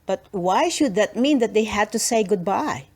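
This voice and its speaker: A woman narrating a children's storybook as an expressive read-aloud. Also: medium pitch, normal speed, breathy edge.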